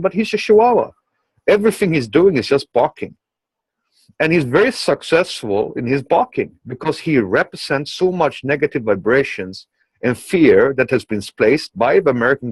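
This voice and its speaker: A man with a non-native English accent speaks at a medium pitch in a very dry recording. He delivers a blunt, emphatic monologue in the manner of a political commentator. His phrasing rambles and circles back, punctuated by small tags like okay and you know. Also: no echo, rough recording. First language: not English.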